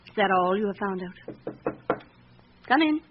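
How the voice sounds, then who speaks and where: A woman's voice: mid-range voice, lively intonation, measured pace, close to an everyday voice. A woman playing a haughty, defiant upper-class French lady, cold and imperious, in a radio drama. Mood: defiant, indignant. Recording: muffled old radio recording, background hiss.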